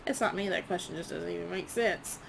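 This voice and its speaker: A woman's voice: fairly low pitch, brisk, creaky, slight background noise, poor audio. A woman history teacher reading quiz questions aloud to students, enunciating each prompt item by item in an instructional way.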